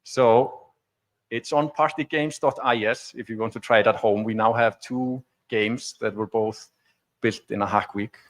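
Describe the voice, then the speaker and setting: A man's voice: medium pitch, fairly even intonation, unhurried. Man giving a tech talk. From Iceland. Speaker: conference speaker. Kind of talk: informal technical talk with live demo. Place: conference stage before an audience.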